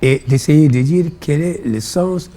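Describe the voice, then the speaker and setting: A man with a Senegalese accent and Pulaar as his first language, explaining ideas in measured, didactic phrases as an interview guest. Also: fairly high pitch, mellow. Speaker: interview guest, philosophy author.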